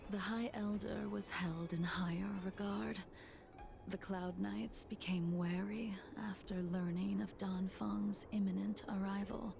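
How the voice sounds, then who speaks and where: A woman voicing a calm, aloof, long-lived warrior in mourning for a video game, breathy and measured. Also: medium pitch, background noise. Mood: grave, reserved. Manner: measured, solemn, formal.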